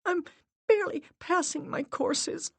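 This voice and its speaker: A woman's voice: very high voice, unhurried, gravelly, fairly bright tone, no room sound, voice pushed up, exaggerated voice. A woman doing a tearful young college girl. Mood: pleading. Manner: tearful, pleading. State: crying.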